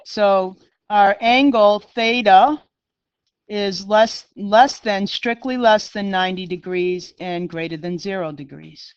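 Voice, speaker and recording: A woman's voice: medium pitch, takes it slowly, smooth, dry recording, muffled recording. A middle-aged woman with a Boston accent, teaching a math class in a chatty, self-deprecating way and talking straight to her students. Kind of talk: classroom lecture.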